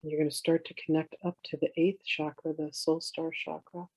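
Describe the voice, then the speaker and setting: A meditation teacher guiding in a soothing, unhurried way, with gentle instructions in drawn-out phrases. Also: medium pitch, little pitch movement, no echo, rough recording. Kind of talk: guided meditation, slow instruction.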